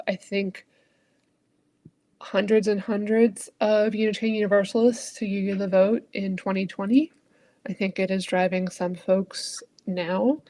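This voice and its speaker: A woman minister speaking in a thoughtful, searching way, often trailing off softly at the ends of phrases. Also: medium pitch, gravelly, dry recording. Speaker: Unitarian Universalist minister. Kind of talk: reflective conversational talk.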